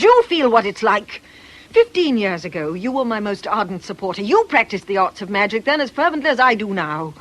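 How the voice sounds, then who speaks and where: A woman's voice: mid-range voice, fairly bright tone, low-quality recording. An actress playing a bitter, mocking middle-aged witch in a theatrical radio drama manner.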